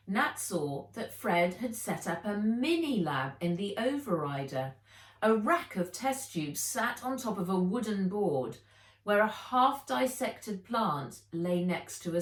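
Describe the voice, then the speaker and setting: A woman reading a children's adventure story aloud as its author, with expressive, theatrical delivery. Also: medium pitch. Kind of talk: dramatised audiobook narration. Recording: roomy, echoey, distant microphone.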